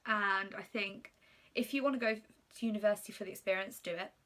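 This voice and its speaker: A woman's voice: mid-range voice, a little fast, a bit bright. A woman in her twenties with Polish as her first language, chatting casually as she reads out and answers get-to-know-me questions with plenty of ums. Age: 20s. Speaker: YouTuber.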